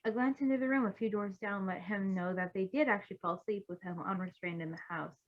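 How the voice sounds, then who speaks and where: A woman's voice: medium pitch, quick pace, highs cut off. A woman reading fan fiction aloud as an amateur narrator, expressively and informally. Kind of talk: expressive story narration with casual asides.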